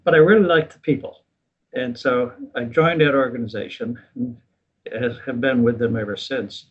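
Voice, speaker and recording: An older man talks with a husky, slightly rough voice, easygoing and ready to laugh at himself. He tells his story unhurried, as a guest in conversation. The recording sounds dull and lo-fi. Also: mid-range voice. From United States. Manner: relaxed, self-deprecating, chuckles at himself. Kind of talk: casual interview storytelling.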